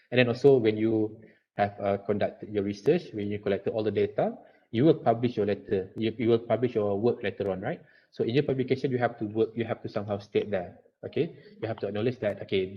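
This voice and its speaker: Low-voiced Malaysian man, dull call audio. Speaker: university lecturer and design researcher. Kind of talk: answering questions in an online lecture. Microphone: video call.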